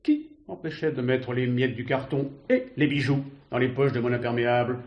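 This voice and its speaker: A man's voice: mid-range voice, normal speed, muffled recording, lightly acted. A man acting as an indignant, evasive doctor in a 1970s stage thriller. His mid-range voice rings in an echoey room. He argues precisely, with wounded self-importance. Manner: pompous, precise, argumentative. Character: indignant, haughty male doctor, evasive under questioning. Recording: roomy, echoing stage sound.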